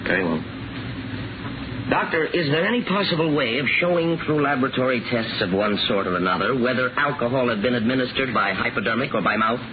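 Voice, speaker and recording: A man's voice: mid-range voice, animated, fairly quick, lightly acted. An actor plays a stern, sardonic prosecuting attorney in a vintage radio drama. His mid-range voice presses formally and relentlessly. The recording is muffled, thin and noisy. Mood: pointed, skeptical. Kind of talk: 1950s radio drama acting. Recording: noisy, narrow-band 1950 radio recording.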